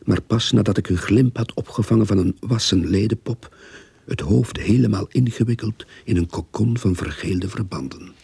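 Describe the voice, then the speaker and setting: An actor playing a haunted grave robber, narrating his grim tale in a husky, gravelly voice in a radio play. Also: fairly low pitch, normal speed, warm tone, dry recording, full character voice. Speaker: first-person narrator. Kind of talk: dramatic first-person radio play narration.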